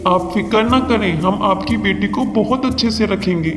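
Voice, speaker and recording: Stern, self-serving bird father for a kids' cartoon, played by a man; high-pitched and declamatory, in a hall-like reverb. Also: normal speed, lightly acted. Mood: businesslike. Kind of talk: Hindi children's cartoon dubbing. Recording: long hall reverb, music underneath.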